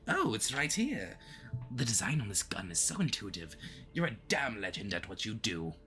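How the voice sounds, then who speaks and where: A man's voice: fairly low pitch, bright, forceful tone. A man playing a smug but easily flustered, obsessive supervillain in a flirty, theatrical roleplay addressed to the listener, with awkward stammering slips. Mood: smug, playful.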